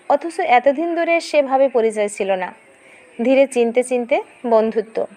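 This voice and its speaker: High-voiced woman narrating a story. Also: darkish tone. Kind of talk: scripted dramatic audio story narration.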